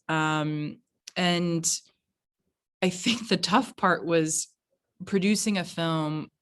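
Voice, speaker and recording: A woman with an American accent answering casually as an interviewed film producer, reflective and halting, often saying "like". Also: low voice. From United States. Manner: halting, reflective, frequent 'like'. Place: remote virtual event.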